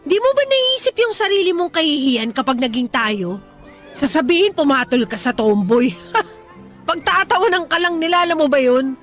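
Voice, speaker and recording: A prickly, tomboyish young woman in a radio drama, firing off curt and scornful comebacks. Her voice sits high, with faint music running underneath. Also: lively intonation, moderate pace, fairly bright tone.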